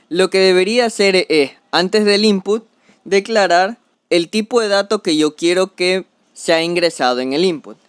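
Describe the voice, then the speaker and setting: Young male tutor, screen-capture lesson, patient step-by-step delivery, slightly high clean voice, dry close mic. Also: clean tone, dry recording. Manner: step-by-step explanatory, talks through each action. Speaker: programming tutor. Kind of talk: screen-recorded coding tutorial.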